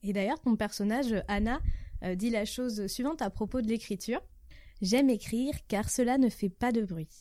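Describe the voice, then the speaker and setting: Woman interviewer asking casual questions, warm dark tone, really dry recording. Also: fairly high pitch, moderate pace, dry recording. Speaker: podcast interviewer.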